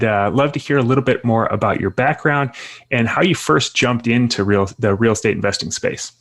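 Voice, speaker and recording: A male podcast host with a fairly low, gravelly voice and plenty of vocal fry. He talks slowly and haltingly, with many fillers and restarts. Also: a bit bright. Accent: Chicago. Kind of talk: interview host introduction.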